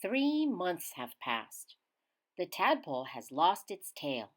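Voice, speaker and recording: A woman reads a children's science book aloud at an unhurried pace. Her slightly low voice rises and falls in lively swoops. She is teacherly, giving key words clear emphasis. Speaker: children's read-aloud reader.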